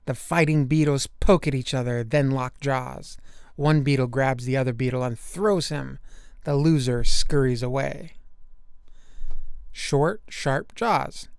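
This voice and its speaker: A man narrating a children's nature book aloud, with deliberate, emphatic storytelling for young listeners. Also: medium pitch, gravelly, a little mellow, smooth, no room sound, clean studio sound.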